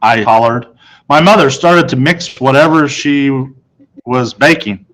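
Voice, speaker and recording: Male storybook narrator, medium pitch, reading first-person children's fiction aloud. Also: a little slow, a bit bright, poor audio.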